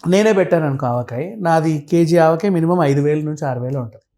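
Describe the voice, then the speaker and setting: A man's voice: a little high, fast talker, warm tone. A man with a Telugu accent talking casually and explaining things through everyday examples and lists, mixing in English words.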